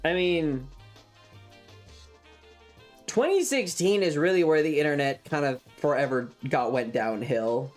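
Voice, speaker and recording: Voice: medium pitch, measured pace, pauses often, darkish tone. Rambling young adult streamer over music. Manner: rambling, hesitant, repeats phrases. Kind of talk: casual livestream chat.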